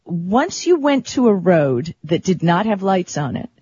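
Husky, chatty woman radio host. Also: lowish voice, very animated, measured pace, dark tone, dry recording. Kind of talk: casual tech talk radio chat. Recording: slightly dull, dry lo-fi radio stream.

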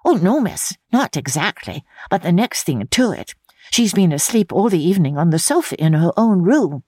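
A woman puts on a young storybook housemaid, deferential and chatty. The voice sits higher, with lively, artless swings and a slight husk. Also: moderate pace, husky, dry recording, studio quality. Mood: amused, gossipy. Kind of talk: dramatic audiobook character reading.

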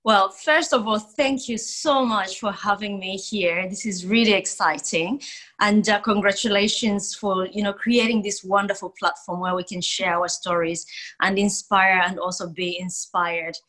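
A woman's voice: mid-range voice, steady tone, measured pace, fairly bright tone. Woman, from Zambia, podcast guest, reflective storytelling. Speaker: guest author and philanthropist. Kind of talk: interview storytelling.

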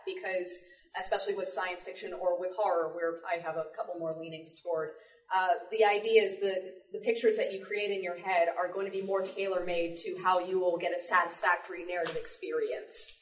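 A woman speaking on a discussion panel in a library room, articulate and measured, with elaborate wording. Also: on the low side. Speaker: audio drama panelist. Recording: echoey room, distant mic.

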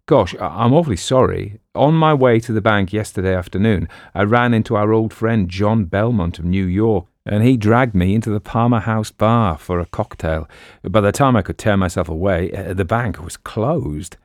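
A man's voice: lowish voice, normal speed, no room sound, clean studio sound, lightly acted. A man voicing a burly, gloomy sidekick who frets and worries, in an audiobook. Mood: apologetic.

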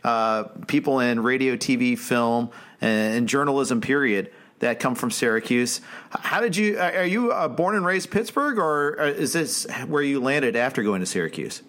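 An American man with a medium-pitched voice hosts a sports program. He speaks in a conversational broadcast style, addressing guest and listeners alike. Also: animated, normal speed, a bit bright. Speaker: fantasy sports show host. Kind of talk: casual interview hosting.

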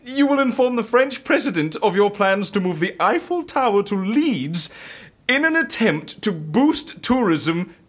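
An eccentric male schemer for an audio comedy drama. His husky voice sits high and swoops widely, bossy and theatrical, with obvious relish. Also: big pitch swings, unhurried, a bit bright, exaggerated voice. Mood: gleeful, commanding. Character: gleeful eccentric male schemer plotting absurd political stunts.

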